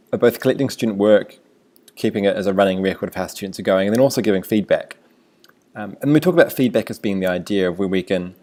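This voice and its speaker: A man with a New Zealand accent, a teacher talking through a screencast tutorial in a relaxed, thinking-aloud way. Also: low voice.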